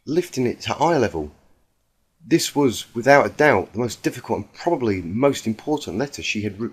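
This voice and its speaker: A man reading a fan fiction story aloud in an earnest, expressive, dramatic amateur narration. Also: on the low side, husky.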